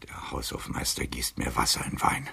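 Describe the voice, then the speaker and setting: An old, embittered adventurer in a literary radio play. The voice is extremely low and badly husky, full of proud grievance. He declaims theatrically, swinging widely from breathy muttering to outcries. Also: wildly swooping pitch, moderate pace, sharp tone, heavily acted. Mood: aggrieved. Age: older. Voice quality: gravelly, husky, breaking into whispers. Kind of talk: literary radio drama acting in verse.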